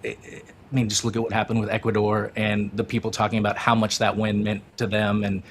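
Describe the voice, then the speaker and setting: A man's voice: on the low side, completely flat delivery, fairly quick, a little hiss or hum. A man co-hosting a casual soccer talk show, enthusiastic and rambling, with many fillers. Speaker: podcast co-host.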